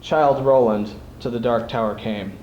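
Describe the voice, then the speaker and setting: A man's voice: medium pitch, unhurried, a little mellow, highs cut off, slight background noise. A young man giving a theatrical, declamatory recitation of poetry as a student speech competitor. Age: young adult. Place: school event stage before an audience. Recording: echoey hall.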